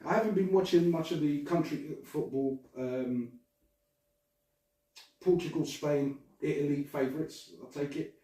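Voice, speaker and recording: A man's voice: on the high side, unhurried, warm tone, recorded from a distance. Chatty man in echoey room. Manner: laughing, rambling, swears casually. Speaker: podcast host. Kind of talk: casual chat.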